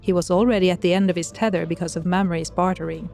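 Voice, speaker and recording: A woman's voice: on the low side, fairly even intonation, quick pace, vocal fry, a little mellow, faint music behind. A woman giving scripted narration as the storyteller of an audio drama.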